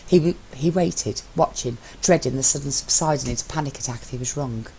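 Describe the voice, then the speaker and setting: A woman's voice: a bass voice, fairly quick, vocal fry, dark tone, slight background noise, poor audio. A woman reading fiction aloud as an amateur narrator. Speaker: amateur fan fiction reader. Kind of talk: scripted story narration.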